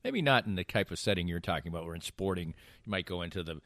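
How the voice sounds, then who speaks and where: Deep-voiced middle-aged American man, wry podcast host. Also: animated, quick pace, a bit bright, dry recording, studio quality. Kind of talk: casual banter over beers.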